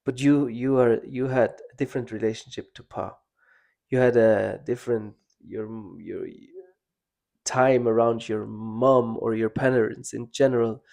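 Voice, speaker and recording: A man from Switzerland hosting a podcast, talking in a reflective, halting way with many restarts. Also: mid-range voice, steady tone, vocal fry, darkish tone. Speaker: podcast host. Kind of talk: casual personal conversation.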